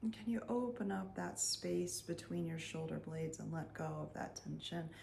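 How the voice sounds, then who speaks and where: A woman leading a guided meditation in a soft, soothing voice, leaving long pauses and sometimes trailing near a whisper. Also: lowish voice, flat delivery, vocal fry, a little hiss or hum. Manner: soothing, unhurried, with long pauses between phrases. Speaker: meditation guide and energy healer.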